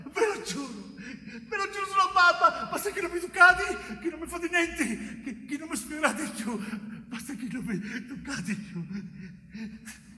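A man's voice: very high-pitched, big pitch swings, a little slow, sharp tone, full character voice. An actor playing a terrified young bricklayer in a stage drama, frantic and pleading, stammering out repetitions as he gives in. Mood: begging. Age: young adult. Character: terrified young bricklayer forced into a false confession. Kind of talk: theatrical stage monologue acting. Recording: echoey theatre hall from far off, music behind.

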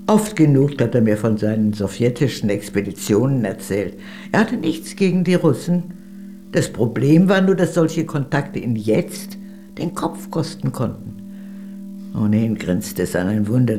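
An actress playing a proud, worldly, aristocratic old former Soviet spy in a German radio drama, with a grand, teasing, theatrical manner. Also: female voice, extremely low pitch, mellow, full character voice. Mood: frank, assured.

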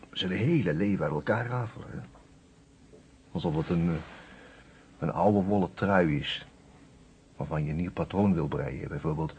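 An actor playing a reflective police inspector in a radio play, recounting a case in a wry, hesitating way. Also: male voice, deep voice, a little mellow. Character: musing male police inspector recounting his case to a friend. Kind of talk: Dutch radio play acting. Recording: dull, noisy old radio recording.

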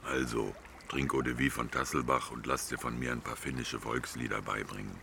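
A hard-drinking Finnish official teases his friend drily in a radio drama. The voice is extremely deep and full of gravel, over clear background noise. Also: little pitch movement, brisk. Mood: boozy, teasing. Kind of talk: German radio drama dialogue.

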